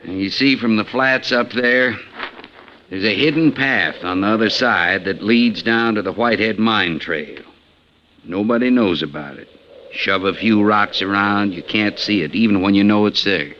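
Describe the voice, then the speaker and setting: Male actor as boastful frontier robber for a radio western: lowish, hard-edged voice, blunt and menacing, lo-fi vintage sound. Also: moderate pace, lightly acted. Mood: scheming, callous. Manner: talkative, folksy, blunt, menacing. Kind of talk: old-time radio western acting. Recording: lo-fi 1950s radio recording, faint noise.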